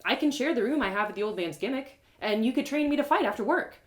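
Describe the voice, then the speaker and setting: A woman's voice: fairly high pitch, expressive, quick pace, pitched above the performer's own voice, close to an everyday voice. A woman voicing an eager, plucky young adventurer girl, chatty and earnest, in a told fantasy story. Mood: eager, excited.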